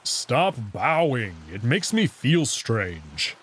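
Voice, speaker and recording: A man voicing a self-assured, teasing male wolf character from a visual novel, casual and gently bossy. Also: medium pitch, wildly swooping pitch, very slow, a little hiss or hum, exaggerated voice. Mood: firm, reassuring. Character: confident, teasing male anthropomorphic wolf, commanding yet caring.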